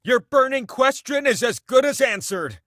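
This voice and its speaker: A man's voice: extremely high pitch, expressive, normal speed, fairly bright tone, no room sound, clean studio sound. A man voicing a giant talking prize wheel in a video game, a grandiose, teasing host who slips between proclamations and chatty asides. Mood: encouraging. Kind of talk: theatrical video game voice-over.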